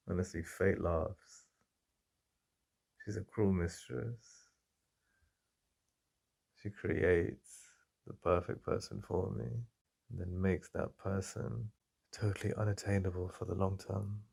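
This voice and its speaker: An English man speaking softly and intimately in a boyfriend ASMR roleplay, halting and heartfelt. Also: deep voice, fairly even intonation, gravelly, husky.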